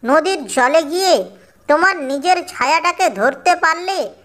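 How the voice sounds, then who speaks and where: Woman as a high-voiced clever little cartoon bird. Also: animated, unhurried, a bit bright, full character voice. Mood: coaxing, flattering. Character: small, clever, friendly tailorbird in children's cartoon. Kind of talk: children's cartoon character voice.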